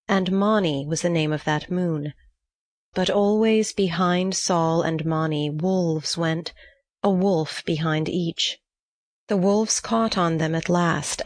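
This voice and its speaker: A woman reading a myth as an audiobook narrator, in a measured storytelling manner. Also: deep voice, dry recording, clean studio sound.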